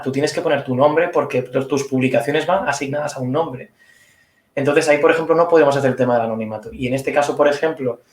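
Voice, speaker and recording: A man's voice: mid-range voice, reverberant. A man answering questions as a speaker at an online conference, thoughtful and hedging, explaining with examples.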